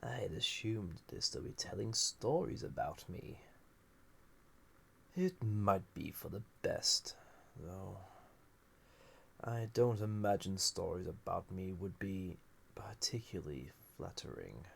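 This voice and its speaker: Casting: male actor as an ancient banished sorcerous villain for audio roleplay; husky, gravelly, theatrical, with wide swings of intonation. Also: deep voice, expressive, takes it slowly, fairly bright tone, full character voice. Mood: wistful, resigned. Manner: musing, theatrical, drifting into breathy near-whispers. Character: ancient banished male villain, magical being, wry, flirty, menacing. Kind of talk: scripted audio roleplay, intimate monologue to listener.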